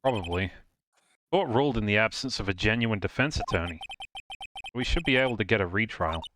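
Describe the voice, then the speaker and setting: A man's voice: lowish voice, lively intonation, fairly quick, background noise, natural voice. A man voicing an exasperated, earnest young defense lawyer in a live fan dub of a video game, questioning in a dry, incredulous way. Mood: businesslike.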